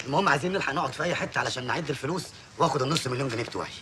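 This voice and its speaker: A man's voice: medium pitch, very fast, background noise, close to an everyday voice. An actor playing a frantic, wisecracking man with an Egyptian accent, who wails and jokes in a comic film scene. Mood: bickering. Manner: frantic, wailing, joking. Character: frantic wisecracking male sidekick.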